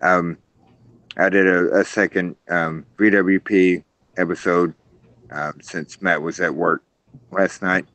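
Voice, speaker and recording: A man's voice: sits low, level tone, slow pace, a bit bright, no room sound. A man co-hosting a casual wrestling podcast who is losing his voice and talks with many hesitant fillers. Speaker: podcast co-host.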